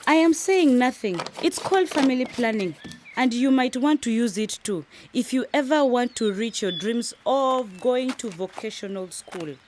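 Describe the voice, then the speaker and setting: An actress playing a confident, ambitious young wife. She is brisk, bossy and teasing, in radio drama style, over faint background noise. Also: on the high side, animated, darkish tone, lightly acted. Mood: teasing, assured. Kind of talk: radio drama acted dialogue.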